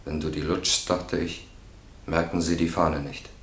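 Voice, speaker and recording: An actor playing a guilt-ridden defendant in a German radio crime drama, halting and subdued. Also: male voice, rumbling low, level tone, a bit bright. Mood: remorseful, confessing. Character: guilt-ridden, evasive male defendant confessing in court. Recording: roomy, echoing radio drama studio.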